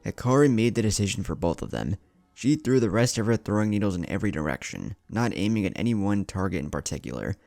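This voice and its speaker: Deep voiced guy narrating a fan fiction story, lots of rise and fall, crackly low tone. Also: sing-song, quick pace, vocal fry, a little mellow, no room sound, faint music behind.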